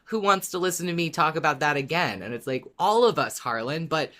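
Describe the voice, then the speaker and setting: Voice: mid-range voice, animated, a little fast, bright, forceful tone. Wry podcast co-host in casual chat.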